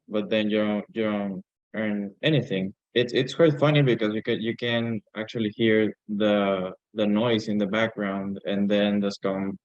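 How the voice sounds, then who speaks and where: Smooth, slow-paced man with flat intonation. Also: fairly low pitch, no room sound. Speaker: class participant. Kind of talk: casual anecdote in a class discussion. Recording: slightly dull online call audio.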